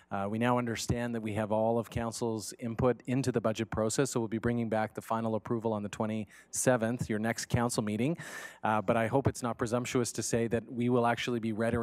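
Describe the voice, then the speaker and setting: A man serving as chief administrative officer, addressing council politely in a measured way with many fillers. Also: mid-range voice, darkish tone, dry recording. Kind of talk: formal report to council.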